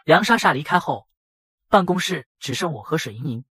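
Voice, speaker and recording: A man voicing a brash young factory boss who narrates his own dramatic motion-comic story in a husky voice. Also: a little high, animated, fairly quick, bright, forceful tone, dry recording, clean studio sound, exaggerated voice. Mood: tense narrating. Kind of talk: dramatic first-person scripted narration.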